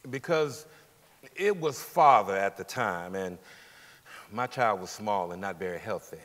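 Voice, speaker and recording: A man's voice: lowish voice, expressive, measured pace, a bit bright. Man acting steadfast witness father, echoing hall. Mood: defensive. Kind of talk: staged dramatic reading of court testimony. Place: large theater stage, indoors. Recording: hall reverb from a theater stage.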